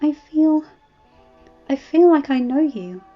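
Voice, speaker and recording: A woman's voice: high voice, expressive, takes it slowly, pitched above the performer's own voice. A woman voicing a bold, earnest young noblewoman in a fantasy story, with direct and formal speech. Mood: astonished. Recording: muffled audio with music.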